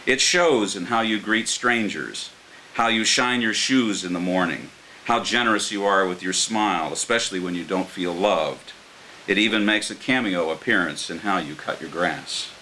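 Older american guy reading poems at a mic, low but forceful edgy voice, some hiss. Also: normal speed, poor audio. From Martins Ferry, Ohio, United States. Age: older, around 60. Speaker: poet reading his own work. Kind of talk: live poetry reading with informal introductions. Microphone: microphone on a stand. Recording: clear background hiss.

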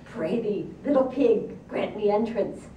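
A woman's voice: mid-range voice, a little slow, dark tone, natural voice. A sinister, hungry wolf from a storybook, acted out by a woman, drawing out each courtly threat. The words are archaic and formal, and the sound is roomy and echoing. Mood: falsely polite. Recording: roomy echo, distant mic, faint noise.

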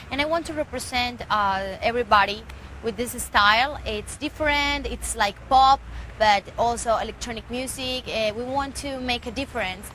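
A woman's voice: fairly high pitch, sharp tone, slight background noise. A young woman from Medellín, a singer with a Colombian Spanish accent, talking earnestly in English as her second language and searching for words, interviewed outdoors in a garden. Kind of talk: casual interview.

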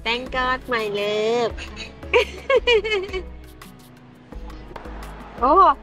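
Giggly high-voiced Thai woman. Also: big pitch swings, extremely slow, pushed and bright, soft background music. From Thailand. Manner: giggly, simple broken English with Thai words mixed in. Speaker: vlog host. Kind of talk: casual food vlog chat. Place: outdoor restaurant terrace at night. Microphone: camera across the table.

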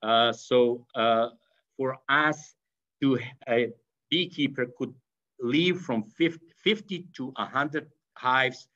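A middle-aged man from Yugoslavia with an Eastern European accent, giving an online lecture, often pausing with fillers and restarting phrases. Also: mid-range voice, fairly bright tone. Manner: hesitant, many fillers and restarts. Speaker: veterinary professor. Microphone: video call webcam. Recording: slightly dull video call audio.

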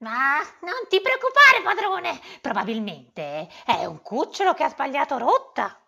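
A woman's voice: sits high, big pitch swings, unhurried, vocal fry, bright, forceful tone, full character voice. A woman voicing an eager, servile bird henchman with a squawky, fawning manner in a children's cartoon.

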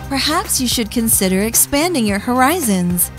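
A woman reading a promotional channel outro in a smooth, scripted way. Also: sing-song, a little slow, over music. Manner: smooth, read promo pitch. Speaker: announcer.

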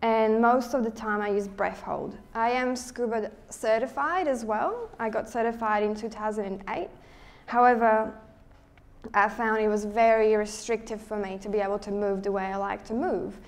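A young woman from Slovakia with a Slovak accent, speaking as a guest presenter to a live audience in a lecture hall, friendly and relaxed, restarting sentences as she thinks. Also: a little high, moderate pace. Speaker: guest speaker, underwater photographer. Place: lecture hall at a photo show.

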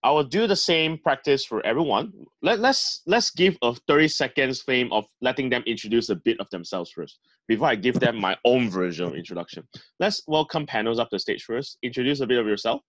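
A man's voice: medium pitch, animated, fairly quick, a bit bright, no room sound, muffled recording. A man hosting an online club meeting over a video call, introducing panelists in an upbeat emcee manner. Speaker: host.

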